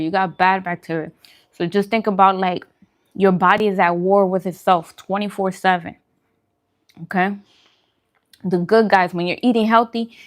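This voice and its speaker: A woman talking informally in a casual vlog, giving advice and repeating points for emphasis. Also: medium pitch. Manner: conversational, informal explaining. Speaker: food and wellness vlogger. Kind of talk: casual mukbang chat giving diet advice.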